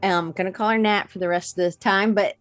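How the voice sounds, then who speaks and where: A woman's voice: mid-range voice, steady tone, a little fast, soft background music. A woman hosting a live-streamed interview show, chatty and rambling as she greets her audience. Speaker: podcast host.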